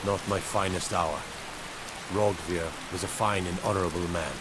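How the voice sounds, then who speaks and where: Man playing a low-voiced grim army captain, noisy. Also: steady tone, moderate pace, close to an everyday voice. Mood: gruff, unrepentant. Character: grim, matter-of-fact male imperial army captain. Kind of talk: fantasy game dialogue. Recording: loud hiss and rain noise.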